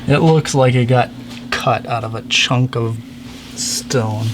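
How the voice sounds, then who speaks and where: A man's voice: mid-range voice, steady tone, slow pace. A man talking casually while working, guiding a helper through a craft with exclamatory, encouraging remarks. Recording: noisy room, clear background noise.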